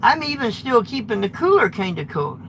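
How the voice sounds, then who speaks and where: An American man with a high-pitched voice, a trucker vlogger chatting live with viewers from his truck cab in a blunt, rambling way. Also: sing-song, normal speed, vocal fry, a bit bright, a little hiss or hum, rough recording. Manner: rambling, blunt, restarts often. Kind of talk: live-streamed chat with viewers.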